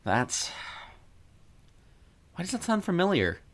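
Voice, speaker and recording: A grieving young woman in an audio story, voiced by a male narrator. She speaks haltingly and wistfully, a little higher in pitch, as if feeling her way through old memories. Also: huge pitch swings, a bit bright, heavily acted. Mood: puzzled.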